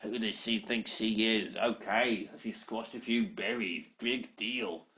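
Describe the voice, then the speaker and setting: A man's voice: fairly low pitch, slow, pushed and bright. A man voicing a group of jealous adult goblins with a sour, grumbling manner. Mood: resentful.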